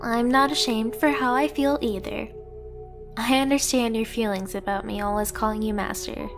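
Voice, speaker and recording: A woman's voice: on the high side, fairly even intonation, normal speed, over music, lightly acted. A woman voicing a devoted, submissive young catgirl pet in an ASMR romance roleplay, tender, earnest and pleading.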